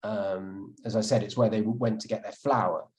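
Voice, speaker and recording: A man's voice: lowish voice, steady tone, normal speed, a little mellow. A man, an author being interviewed, answering thoughtfully with many restarts and fillers. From England.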